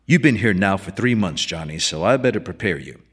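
A brooding, mysterious lighthouse keeper from a storybook, acted out by a male reader. His voice sits very low and husky, and he muses in a hushed, folksy way, wistful about the sea. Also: animated, fairly quick, heavily acted. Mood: brooding, ominous.

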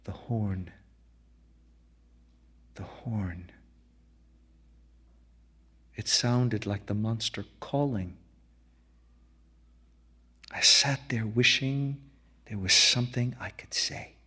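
A male actor narrates literary fiction with solemn drama. His voice is very low and husky, and his delivery is slow and measured. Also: bright, forceful tone. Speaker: actor reading a short story.

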